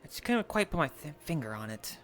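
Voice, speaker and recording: A man with a halting, apologetic manner, improvising casual in-character chat in an online role-play. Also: on the high side, big pitch swings, a bit bright. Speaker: role-play participant and streamer. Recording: music playing over the voice. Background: music.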